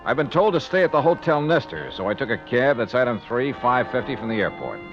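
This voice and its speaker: A man's voice: medium pitch, very animated, fairly quick. An actor playing a breezy, hardboiled male insurance investigator in a punchy 1950s radio drama style. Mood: brisk narrating. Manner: wry, punchy, clipped narration. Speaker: lead actor and first-person narrator. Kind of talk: 1950s radio detective acting. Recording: dull vintage radio recording, music behind.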